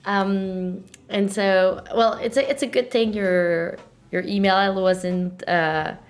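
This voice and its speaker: A woman's voice: medium pitch, fairly even intonation, takes it slowly. A woman hosting an interview, asking casual questions with frequent fillers and light laughter. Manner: giggly, hesitant, with many fillers. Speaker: host.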